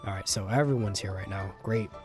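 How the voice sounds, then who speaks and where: A man's voice: lowish voice, brisk. A young adult man giving casual, rambling livestream commentary on a game in slangy language. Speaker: game streamer. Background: game music.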